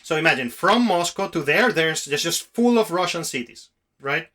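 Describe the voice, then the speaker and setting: A man hosts a casual podcast with a sharp, bright voice pitched a little high. He speaks reflectively and earnestly, rambling from one thought to the next as if among friends. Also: expressive, normal speed. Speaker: podcast host.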